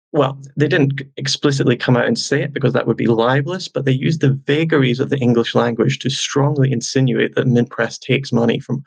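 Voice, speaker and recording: A man as a guest journalist, answering in a measured, sardonic way. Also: mid-range voice, creaky, slightly rough, no room sound.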